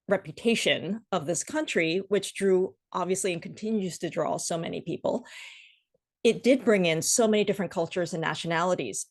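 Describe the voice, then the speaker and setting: A middle-aged woman with an American accent, giving measured, articulate answers as a guest in a conversational interview. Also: fairly low pitch. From Washington, D.C. area, United States. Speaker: podcast guest, association executive.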